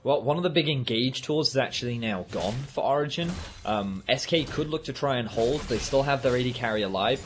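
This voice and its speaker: A man in the middle of his range calmly breaks down a match on a live esports broadcast. He talks in an analytical, easygoing way, and loud game music plays under his voice. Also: mid-range voice, fairly quick, few pauses. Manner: analytical, relaxed, jokey banter. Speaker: esports color caster.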